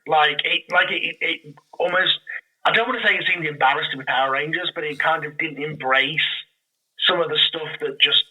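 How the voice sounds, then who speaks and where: A man talking casually and enthusiastically as a podcast guest, explaining things in an animated, rambling way. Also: on the high side, fairly quick, husky, poor audio. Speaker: podcast guest, audio drama creator. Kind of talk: casual, enthusiastic fan chat.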